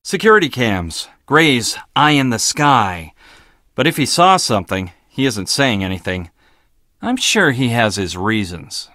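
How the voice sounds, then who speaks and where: Arrogant scientist, swooping intonation. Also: male voice, mid-range voice, sing-song, measured pace, sharp tone, no room sound, studio quality. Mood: dry, matter-of-fact. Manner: clipped, dismissive, pedantic. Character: arrogant, blunt, logical male research scientist. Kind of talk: video game voice acting.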